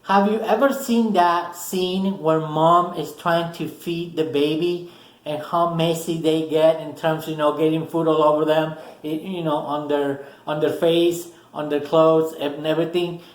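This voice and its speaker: Spanish-accented man explaining slowly, echoey room. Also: a little high, steady tone. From Spanish-speaking country. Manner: explains slowly in short phrases, repeats himself. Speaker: self-help video host. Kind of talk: explanatory talk to camera.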